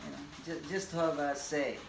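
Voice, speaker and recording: A Filipino man in his forties, a tennis coach whose first language is Bisaya, introducing himself in a halting, casual way with many fillers and laughs. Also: fairly high pitch, flat delivery, vocal fry. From Philippines. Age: 40s. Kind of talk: casual self-introduction to camera. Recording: echoey room, audible background noise.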